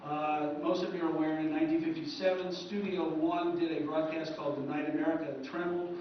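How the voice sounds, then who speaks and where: A man's voice: a little high, level tone, brisk. A man giving an informal lecture to an audience as a presenter, rambling and hesitant with many stammered false starts. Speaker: convention presenter, radio historian. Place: echoey presentation room at a convention. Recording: roomy echo, distant mic, dull, hissy.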